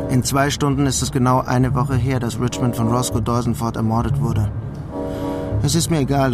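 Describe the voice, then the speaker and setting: A male actor plays a bitter male criminal telling his story in a crime radio play. His delivery is deliberate and matter-of-fact, in a mid-pitched voice, with music clearly running underneath. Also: natural voice. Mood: cold, bitter. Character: male New York criminal, bitter first-person crime narrator. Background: music behind the voice.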